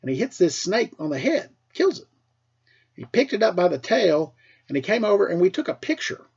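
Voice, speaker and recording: A middle-aged American man, a teacher with a husky voice, tells casual personal stories for children in an animated way, acting things out as he goes. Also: sits high, brisk, lo-fi sound. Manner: animated, acts out dialogue and sound effects. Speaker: school teacher telling stories to pupils.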